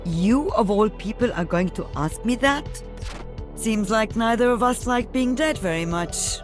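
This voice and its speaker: An actress playing a weary, protective elderly mother and veteran sniper in a video game, with a dry, measured manner. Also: on the high side, animated, over music. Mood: wry, familiar. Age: older.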